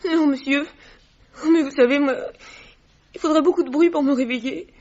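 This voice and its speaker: Casting: actress as timid teenage servant girl, high plaintive voice, tearful and simple, radio play with dull lo-fi sound. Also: moderate pace, a little mellow, lightly acted. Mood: shaken, plaintive. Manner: plaintive, deferential. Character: frightened tearful eighteen-year-old village housemaid, simple and respectful. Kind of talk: French radio drama acting.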